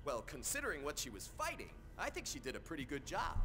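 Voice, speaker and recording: Friendly loyal lab man, fairly high, animated, game cutscene, faint rumbling noise. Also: quick pace. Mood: friendly, teasing. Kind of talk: English game cutscene acting.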